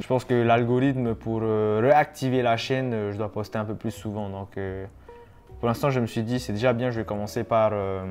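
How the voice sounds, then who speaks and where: Young man in his twenties, mid-pitched voice, casual rambling vlog chat, soft guitar music behind. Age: 20s. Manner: relaxed, rambling, many hesitations. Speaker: YouTuber. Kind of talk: casual vlog talk to camera.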